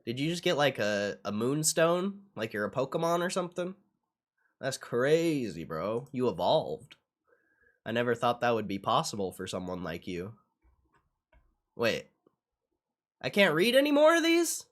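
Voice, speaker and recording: A man's voice: medium pitch, big pitch swings, unhurried, pauses often, a bit bright. A young man chatting casually on a livestream in slangy, sweary speech, often repeating his phrases as he reacts. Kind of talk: casual livestream chat, reacting to what is on screen.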